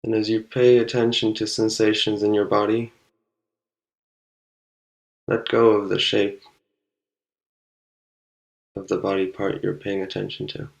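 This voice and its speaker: A man leading a guided meditation, speaking calmly and unhurriedly with long pauses between short instructions. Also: lowish voice, vocal fry, poor audio.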